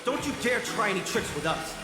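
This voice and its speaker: A man's voice: sits high, very animated, a little fast. An actor playing a jumpy, blustering official in a video game, stammering as he protests. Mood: indignant, nervous. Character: jumpy, blustering male official trailing an old warrior. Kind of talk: scripted video game voice acting. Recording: echoey hall reverb, loud music.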